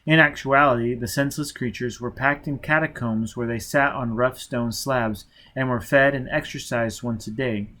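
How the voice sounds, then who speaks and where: A man's voice: mid-range voice, steady tone, normal speed, hardly any pauses, bright, forceful tone. A man reading a novel aloud as dramatic scripted narration. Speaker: audiobook reader.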